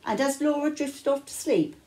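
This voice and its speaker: An older woman reading a children's bedtime story aloud with measured, dramatic phrasing and pauses. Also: high-pitched, mellow. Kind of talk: expressive picture-book read-aloud. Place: small living room, indoors.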